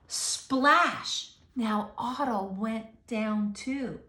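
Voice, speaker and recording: A woman's voice: a little high, drawn-out words, bright, forceful tone, echoey room. A woman reading a picture book aloud to children, expressively, with questions and asides to her young listeners.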